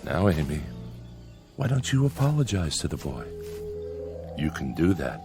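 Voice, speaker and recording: An actor playing an uneasy, placating man in a radio drama, halting and carefully pleasant. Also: low-pitched, sing-song, music in the background.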